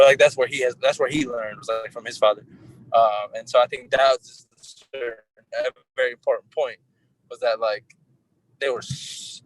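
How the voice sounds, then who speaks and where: A man speaking informally in a group discussion, halting often as he searches for his words. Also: medium pitch, slight background noise, rough recording.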